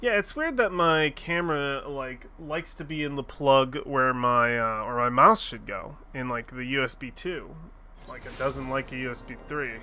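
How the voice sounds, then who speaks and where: A man's voice: medium pitch, moderate pace, pushed and bright, a little hiss or hum. A man streaming a game, recapping the story in a rambling, joking way with lots of fillers and casual swearing. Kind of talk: casual let's-play commentary.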